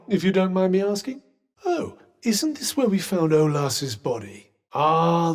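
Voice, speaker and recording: Haughty enchanted cuff, British accent, dry wit, formal phrasing, raised pitch, lively intonation, video game companion. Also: male voice, full character voice. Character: sardonic, haughty, well-spoken talking magic cuff companion.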